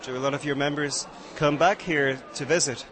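A man asks relaxed, curious interview questions out of doors, with the chatter of a crowd clear behind him. His voice sits a little higher than most men's. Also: normal speed. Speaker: radio interviewer.

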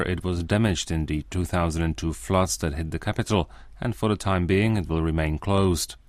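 A man narrating a radio documentary feature. His voice sits very low and the sound is completely dry, with no room around it. He tells the story in a measured, even way. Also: no echo. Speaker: radio reporter.

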